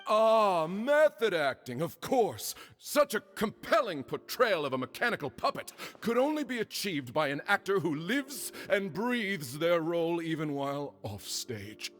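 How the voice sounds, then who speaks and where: An actor playing a pretentious theater critic in a video game, effusive, pompous and theatrical. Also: male voice, sits high, sing-song, measured pace, hardly any pauses, fairly bright tone, faint music behind, heavily acted. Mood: awed.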